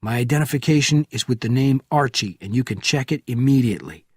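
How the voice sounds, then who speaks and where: A man reading as a calm, hardened agent. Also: mid-range voice, fast talker, husky, no room sound, studio quality, lightly acted. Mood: calm, urgent.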